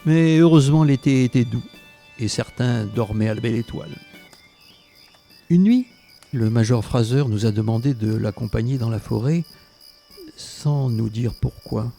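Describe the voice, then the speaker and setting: An actor playing a reflective former resistance fighter recounting wartime memories in literary, scripted narration. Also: male voice, medium pitch, moderate pace, vocal fry, pauses often, mellow, faint music behind, close to an everyday voice. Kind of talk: scripted narration in an audio drama.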